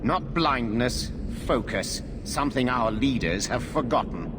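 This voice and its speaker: Actor as slow, menacing zealot knight. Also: male voice, medium pitch, expressive, lightly acted. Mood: cold defiance. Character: zealous, hateful templar knight, cold and righteous. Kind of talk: dramatic video game cutscene acting. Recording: noisy game ambience behind.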